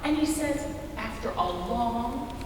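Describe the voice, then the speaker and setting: A woman's voice: a little high, lively intonation, slow. A woman performing in a readers theater, telling folksy, conversational stories in a stage storytelling style. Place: large hall, live stage reading. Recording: echoey hall from far off, faint noise.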